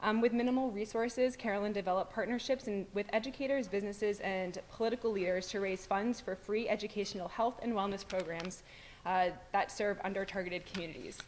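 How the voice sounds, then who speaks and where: A woman's voice: mid-range voice, steady tone, fairly quick, a little mellow, a little hiss or hum, low-quality recording. A young woman, a student, giving a formal introduction of a guest speaker, with frequent ums and uhs. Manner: hesitant, many "um"s and "uh"s. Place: university lecture room.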